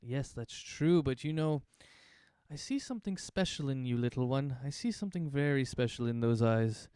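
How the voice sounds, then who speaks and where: A man's voice: medium pitch, expressive, moderate pace, darkish tone, clean tone, no room sound, clean studio sound, natural voice. A man voicing an eccentric, forgetful male potion seller in a tabletop game, talking in a chirpy, theatrical way.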